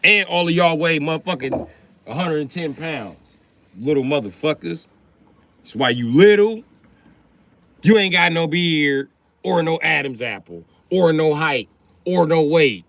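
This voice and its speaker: Rowdy, lively-voiced man ranting. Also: fairly high pitch, unhurried, no echo. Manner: profane, rowdy, boastful. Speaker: livestreamer. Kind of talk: comedic livestream rant.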